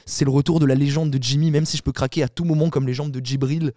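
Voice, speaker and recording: Fast-talking man, dark dry voice. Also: on the high side, no room sound. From Italian heritage. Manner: animated storytelling with many restarts and fillers. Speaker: podcast panelist. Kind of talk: casual podcast chat.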